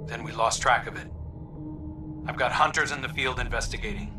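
An actor playing an earnest scout in a video game, giving a field report in a measured, grave, deliberate way. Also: male voice, mid-range voice, distant mic, over music, lightly acted. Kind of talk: scripted video game dialogue.

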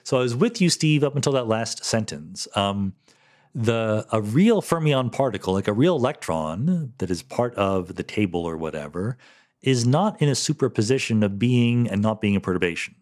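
A middle-aged man talks through listener questions on his podcast, thinking aloud and often correcting himself. His voice sits low, but it rises and falls a lot as he explains. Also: expressive, normal speed, a little mellow. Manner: thoughtful, self-correcting, lightly laughing. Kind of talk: casual solo Q&A explanation.

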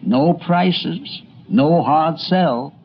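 A man's voice: fairly high pitch, drawn-out words, slight background noise. A man narrating a scripted history documentary like a storyteller, with conversational asides. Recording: dull, lo-fi old recording.

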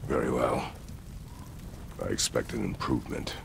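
An extremely deep, gravelly warrior father for a video game. He is stern and restrained, speaking in terse, formal commands. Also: moderate pace, noisy background, heavily acted. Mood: curt, grudging.

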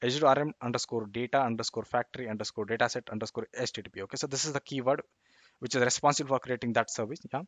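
A man's voice: mid-range voice, fairly even intonation, very fast, fairly bright tone, dry recording. A man explaining code on a screen share, stopping and restarting often, with lots of fillers and "okay, yeah" tags. His voice sits in the middle range and sounds close and dry, with no room around it. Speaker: tech tutorial presenter.

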